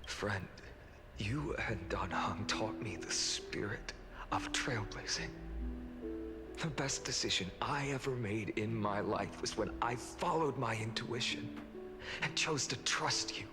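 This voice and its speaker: Game role, noble, loyal young lord. Low register, grave and measured, hushed edges, loud score underneath. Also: male voice, music in the background. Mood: earnest, solemn. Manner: solemn, measured, sometimes dropping to a breathy hush. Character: earnest, selfless young male lord and loyal comrade. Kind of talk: dramatic fantasy video game voice acting.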